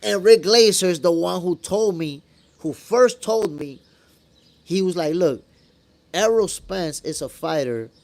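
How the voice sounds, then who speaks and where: Higher-pitched New York man talking boxing. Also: expressive, a little slow, vocal fry. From New York, after moving from the Dominican Republic at eight. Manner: conversational and slangy, addressing viewers as brother. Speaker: boxing show host. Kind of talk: casual live-stream commentary, answering viewer comments.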